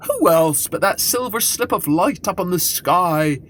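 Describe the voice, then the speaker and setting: A woman voicing a lofty, condescending male ship in a children's story, speaking in a measured, declaiming way. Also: lowish voice, animated, fairly bright tone, slight background noise. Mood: amused, condescending.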